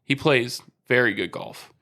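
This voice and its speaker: A man's voice: mid-range voice, measured pace, pushed and bright. A middle-aged man co-hosting a podcast, teasing his friend and laughing easily while rambling about sports. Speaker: podcast co-host. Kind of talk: casual chat.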